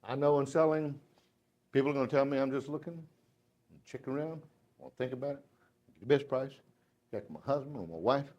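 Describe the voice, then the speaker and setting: A Southern-accented man in his fifties talks to a sales team in a folksy, joking way. His voice sits in an ordinary middle pitch, and he drops from loud asides to near-hushed emphasis. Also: brisk, long pauses, muffled recording. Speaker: motivational sales speaker. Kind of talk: live speech to a sales audience. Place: dealership meeting room.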